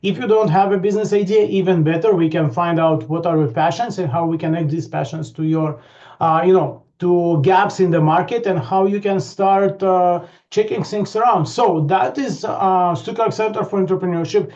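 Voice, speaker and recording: Man, high voice, webinar host, halting with fillers, dull video-call audio. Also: steady tone, a little mellow. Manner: halting, many fillers and restarts. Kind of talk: hosting an online webinar.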